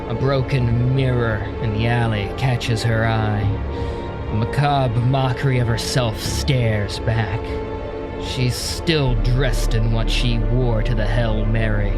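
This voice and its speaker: A male storyteller narrates in a scripted, dramatic style. His pace is slow and deliberate at a middling pitch, with loud music underneath.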